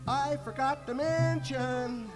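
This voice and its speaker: A man voicing a small, quick-witted male squirrel trickster in a storybook, with a sly, teasing, unhurried manner. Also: very high-pitched, in a large hall, voice pushed up. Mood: sly triumph. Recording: full orchestra music behind. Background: orchestral music.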